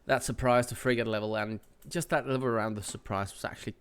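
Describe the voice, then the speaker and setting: Male channel host, nasal with a cold, clear tone, loose rambling delivery full of fillers. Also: mid-range voice, fairly even intonation, quick pace, fairly bright tone. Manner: rambling, with many fillers and restarts. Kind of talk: casual channel update.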